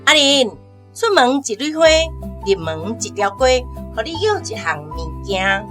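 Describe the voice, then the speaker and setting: A woman's voice: on the high side, sing-song, a little slow. A woman voicing a playful village woman posing a riddle to a child in a radio drama. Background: music.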